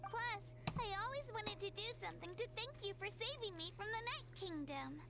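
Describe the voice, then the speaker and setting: A woman's voice: animated, brisk. A woman voicing a small, eager, chatty childlike fairy companion in a video game. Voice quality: squeaky, childlike.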